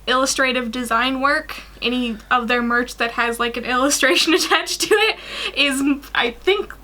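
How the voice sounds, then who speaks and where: A woman's voice: high-pitched, normal speed, pushed and bright. A young woman co-hosting in casual, informal chat, giggly in manner. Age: young adult. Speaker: co-host, guest illustrator. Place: small indoor room at home.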